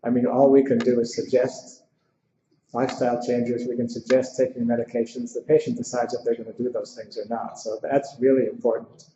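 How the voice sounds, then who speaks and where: A man's voice: medium pitch, level tone, fairly quick, mellow. An American man answering audience questions in an informal lecture, speaking in a thoughtful, conversational, somewhat hesitant way. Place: indoor lecture room with audience. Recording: muffled, echoey lecture hall with background noise.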